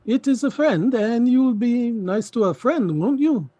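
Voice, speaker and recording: An emphatic middle-aged uncle in a stage drama acted by a man, coaxing and indignant by turns. His pitch swings widely as he pleads and repeats himself, and the sound is dry and close. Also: lively intonation, a little slow, mellow, dry recording, dull audio, full character voice. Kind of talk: theatrical stage play reading.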